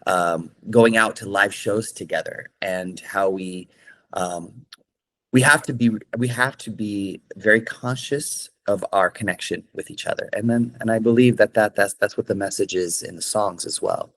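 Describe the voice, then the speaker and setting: A man's voice: lowish voice, normal speed, a bit bright. A man from California, a singer-songwriter answering interview questions in an earnest way, with many fillers and restarts.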